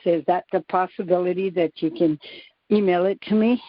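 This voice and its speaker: A woman's voice: on the low side, steady tone, a little fast, no echo. A woman calling in by telephone, chatting in a casual, informal way. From Hawaii. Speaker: caller. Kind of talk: casual phone chat. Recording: dull lo-fi phone line.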